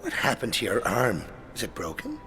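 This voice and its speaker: A man's voice: medium pitch, wildly swooping pitch, moderate pace, sharp tone. Man playing a wry old priest, lilting. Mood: amused teasing. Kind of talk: comedy radio play acting.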